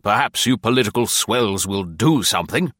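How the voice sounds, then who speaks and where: A man's voice: on the low side, animated, normal speed, fairly bright tone, dry recording, studio quality. Man as a blustering old British colonel. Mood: grumbling. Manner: growling, demanding, blustering.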